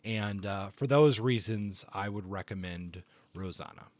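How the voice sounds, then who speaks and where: A man's voice: lowish voice, a little slow, darkish tone, no room sound. A man co-hosting a podcast, talking through book reviews in a conversational way. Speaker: podcast co-host.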